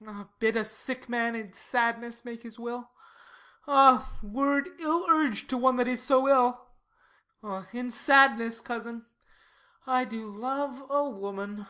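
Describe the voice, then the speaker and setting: A woman's voice: a little high, takes it slowly, fairly bright tone. A woman playing a lovesick, brooding young nobleman in a dramatic Shakespearean reading, with a sighing, melodramatic delivery. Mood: melancholy, sighing.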